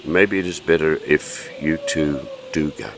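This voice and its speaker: A man acting in an audio drama as a gentle, melancholic painter, speaking in a hesitant, solemn and philosophical way. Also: a bass voice, steady tone, measured pace, noisy background. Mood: grave, cautioning. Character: gentle melancholic male painter guarding forbidden art. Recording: echoey hall with long reverb.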